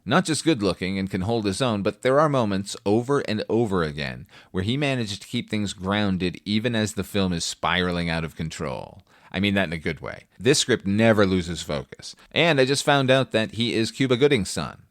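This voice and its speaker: A man's voice: fairly low pitch, animated, normal speed, few pauses, dry recording, clean studio sound. A man hosting a film review podcast, delivering a scripted but conversational monologue in an opinionated, wry, casually profane way.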